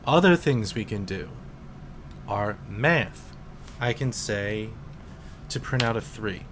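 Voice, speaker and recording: A man in his twenties, a teacher, calmly explaining programming in a casual screen-recorded tutorial with some fillers. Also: on the low side, slight background noise. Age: 20s. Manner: slow, relaxed explaining with fillers.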